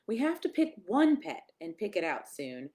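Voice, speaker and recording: Animated woman reading a children's story aloud. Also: mid-range voice. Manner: expressive, sing-song reading with emphatic pauses. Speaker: pastor reading a picture book aloud.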